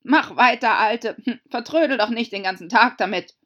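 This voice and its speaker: A brusque storybook griffin, played by a woman, barks out curt, impatient orders. The voice is loud and raised in pitch, on a slightly dull recording. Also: big pitch swings, takes it slowly, exaggerated voice. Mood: scolding, impatient. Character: brusque, bossy, scolding male griffin.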